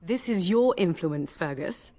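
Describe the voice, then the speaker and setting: A woman's voice: fairly low pitch, expressive, unhurried, warm tone. A woman playing a dry-witted, scolding young noble wife and mother in a video game. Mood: chiding.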